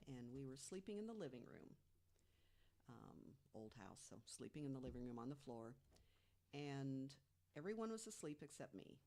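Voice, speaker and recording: A woman in her mid sixties with a very low-pitched voice, speaking as a podcast panelist. She tells her stories in a casual, conversational way. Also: warm tone. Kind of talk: casual personal storytelling.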